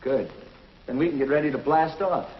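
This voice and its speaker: Actor as a calm confident space pilot, plain matter-of-fact delivery, roomy muffled old TV sound. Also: male voice, fairly high pitch, normal speed, natural voice. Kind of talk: 1950s TV drama acting. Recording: dull roomy 1950s TV soundtrack.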